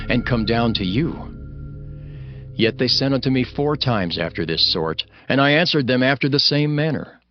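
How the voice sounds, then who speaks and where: A male narrator of dramatized scripture with a medium-pitched voice. He speaks in a solemn, declamatory style. Music plays clearly behind him, and the recording is a little dull.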